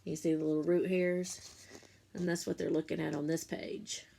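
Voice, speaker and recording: A woman reading a picture book to children like a teacher, in simple, deliberate phrases, pausing to explain and ask questions. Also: sits low, little pitch movement, creaky, mellow, a little hiss or hum. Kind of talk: read-aloud storytime with explanatory asides.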